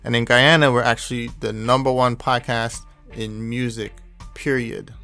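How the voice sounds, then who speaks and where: A man hosting a podcast, upbeat and promotional, giving listener shout-outs. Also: mid-range voice, little pitch movement, normal speed, vocal fry, soft background music. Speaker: podcast host. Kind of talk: casual podcast talk.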